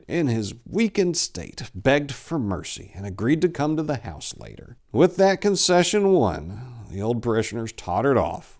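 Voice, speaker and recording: A man in his forties giving a theatrical, expressive dramatic reading of horror fiction. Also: lowish voice. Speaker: storyteller. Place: small indoor room lined with bookshelves. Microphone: lapel.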